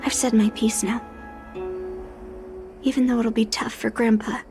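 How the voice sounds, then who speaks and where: A young swordswoman in a game story, high-voiced and very unhurried. She is earnest and resolute, haunted by old trauma, and weighs her words with solemn pauses. Music plays prominently behind her. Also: level tone. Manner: measured, solemn, dramatic pauses. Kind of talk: dramatic video game story dialogue.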